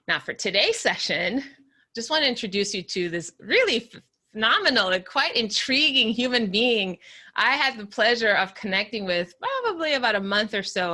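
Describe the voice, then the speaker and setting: A woman hosting an interview podcast, talking slowly and deliberately at first, then chatting easily with a guest over a video call. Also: medium pitch, pushed and bright. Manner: slow, deliberate opening, then conversational with laughs. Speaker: podcast host.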